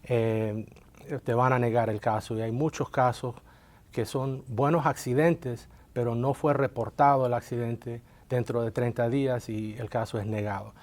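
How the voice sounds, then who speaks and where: A man's voice: medium pitch, fairly even intonation, a little slow, darkish tone. Hesitant man guest, Colombian-born, explains the law with frequent fillers. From Colombia, raised in Miami. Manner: hesitant, many filler sounds. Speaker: workers' compensation lawyer, guest. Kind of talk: interview answers.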